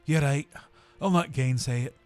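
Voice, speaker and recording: A man's voice: medium pitch, normal speed, faint music behind, pitched above the performer's own voice, lightly acted. A man voicing an old male miser who exclaims eagerly while reading a storybook aloud. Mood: excited. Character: old male miser, excitable when moved.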